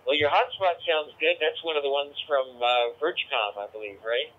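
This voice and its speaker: A man's voice: on the high side, normal speed, phone-quality sound. A man chatting casually as an amateur radio operator over a digital two-way radio. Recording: digital ham radio transmission.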